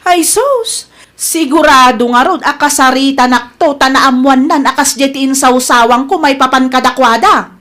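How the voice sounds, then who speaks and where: A woman's voice: high voice, moderate pace, pushed and bright. A woman acting a meddlesome, whiny gossip in a radio comedy drama, flustered and exclaiming. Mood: anxious, whining. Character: whining, meddlesome gossip, a grown daughter.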